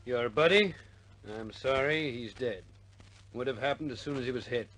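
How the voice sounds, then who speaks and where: Male actor as army doctor, middle pitch, blunt, dry, kindly, matter-of-fact, war radio drama. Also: moderate pace, fairly bright tone, lightly acted, low-quality recording. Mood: subdued, blunt.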